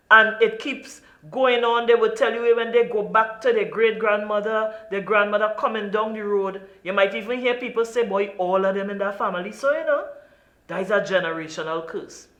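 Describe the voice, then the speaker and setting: A woman's voice: fairly high pitch, normal speed, a bit bright, echoey room. A woman from Trinidad and Tobago with a Trinidadian accent, hosting an interview in an earnest, emphatic way. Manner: earnest, emphatic, lots of "you know". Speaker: talk show host.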